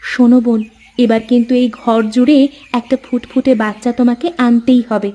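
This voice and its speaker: A woman's voice: fairly high pitch, level tone, a little fast, mellow, lightly acted. An obedient, affectionate elder wife in a fairy-tale cartoon, played by a woman. She talks in a sisterly, coaxing way.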